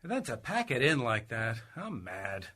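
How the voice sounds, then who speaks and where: An actor playing an earnest, hapless middle-aged suburban dad in a radio comedy. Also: male voice, medium pitch, huge pitch swings, normal speed, bright, forceful tone, full character voice. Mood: glum.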